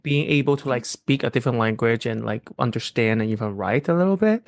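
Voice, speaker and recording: A man's voice: mid-range voice, animated, brisk, dry recording, studio quality. A man from Macau whose first language is Cantonese, co-hosting a casual podcast in a laid-back way with easy laughter. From Macau, China. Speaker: podcast co-host.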